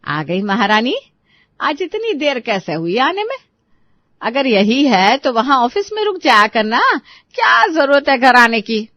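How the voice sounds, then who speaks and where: A woman's voice: on the high side, brisk, no echo, muffled recording, lightly acted. A woman voicing an irritable, scolding older mother-in-law and grandmother in a dramatised story. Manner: sharp, nagging, indignant.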